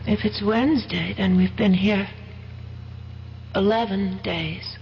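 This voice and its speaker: An actress playing a desperate, fretful wife with a plaintive, emotional delivery in an old-time radio drama. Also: female voice, mid-range voice, steady tone, takes it slowly, gravelly. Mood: weary. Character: desperate, fretful wife trapped in a dark cave. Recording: dull vintage radio recording, faint noise.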